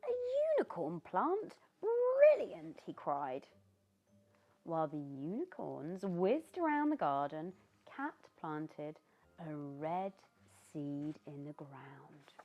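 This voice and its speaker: A British woman reading a children's story aloud in an expressive, theatrical way, with asides to the young listener. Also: sits low, slow. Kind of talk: animated read-aloud narration for young children. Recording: dull lo-fi sound with background music. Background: music.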